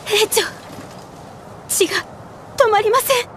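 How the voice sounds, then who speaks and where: A woman's voice: very high voice, slow pace, a bit bright, slight background noise, exaggerated voice. An actress playing an earnest, dutiful young female soldier in an anime game, addressing a superior with deference. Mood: sorrowful. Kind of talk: Japanese anime game voice acting.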